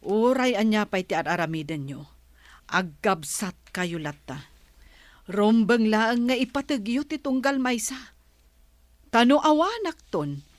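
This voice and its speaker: A woman's voice: medium pitch, big pitch swings, measured pace, pauses often, no echo. A woman playing a weary, patient village mother who pleads with and admonishes her children, in an Ilocano-accented radio drama. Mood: pleading. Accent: Ilocano, northern Philippines.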